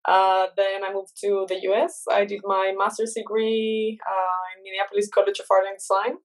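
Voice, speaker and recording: A woman in her thirties speaking English with a Chilean Spanish accent. She is a guest in an interview, and she talks in a thoughtful, halting way with many fillers and self-corrections. Her pitch sits in the middle of the usual range for a woman. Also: flat delivery, rough recording. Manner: thoughtful, many fillers and restarts, laughs often. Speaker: guest artist. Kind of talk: casual interview chat.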